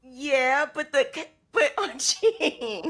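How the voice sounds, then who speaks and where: Woman hosting a chat, talks slow, bright voice, lots of little reactions. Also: sits high, animated. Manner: laughs often, short reactions. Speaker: host. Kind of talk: casual video chat.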